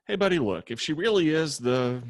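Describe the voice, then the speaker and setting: A man's voice: fairly high pitch, lively intonation, fairly quick, dry recording, clean studio sound. A man playing a sardonic, blunt young roommate in a comedy table read. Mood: blunt, encouraging. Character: dry, sarcastic young male roommate, bluntly supportive.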